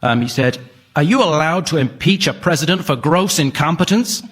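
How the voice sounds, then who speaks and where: A man's voice: on the high side, lively intonation, normal speed, a bit bright. A man giving satirical comic commentary as a panellist.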